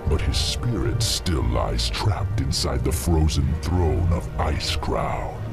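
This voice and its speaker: An actor playing an imperious ancient demon lord in a fantasy game, with a gravelly growl and a grandiose, commanding manner. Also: male voice, very deep voice, heavily acted. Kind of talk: dramatic fantasy game cutscene acting.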